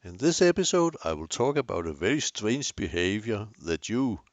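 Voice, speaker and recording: A man's voice: on the low side, very animated, moderate pace, no room sound. An older Danish man with a Danish accent, hosting a camera tutorial with dry, self-deprecating humor. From Denmark. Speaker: tutorial host.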